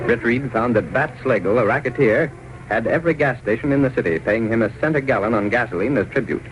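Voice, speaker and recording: A man's voice: medium pitch, quick pace, over loud music. A man with an American accent delivering dramatic scripted narration in the style of 1930s radio. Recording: muffled old radio recording with hiss.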